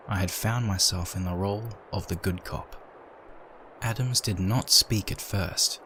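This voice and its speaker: A man reading a dark story aloud in a measured, dramatic narration. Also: low voice, a little hiss or hum, low-quality recording.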